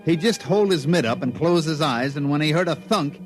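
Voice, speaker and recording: A man's voice: on the high side, normal speed, lightly acted, poor audio. An actor playing a wry baseball team man who tells a tall tale in a colloquial, folksy way in an old radio drama. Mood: wry recounting. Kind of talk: 1950s radio drama acting, folksy narration. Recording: vintage radio broadcast with music.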